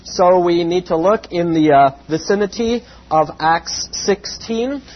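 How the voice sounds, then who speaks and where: A man with a slightly raspy voice giving an evening Bible lesson, measured and full of "uh" fillers, with a reverent tone when he prays. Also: sits high. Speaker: Bible teacher. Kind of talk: evening Bible lecture with prayer. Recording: dull lo-fi with faint hum.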